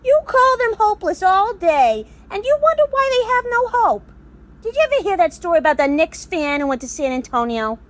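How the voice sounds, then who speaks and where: A woman's voice: very high-pitched, sing-song, brisk. A woman acting in an audio drama as an exasperated storyteller, telling a mocking fable in a theatrical way.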